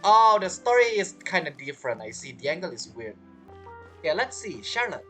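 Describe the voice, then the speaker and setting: A woman's voice: on the low side, big pitch swings, normal speed, fairly bright tone, soft background music. A woman streamer giving casual game commentary, chatty and reacting aloud in short fragments. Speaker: gaming streamer.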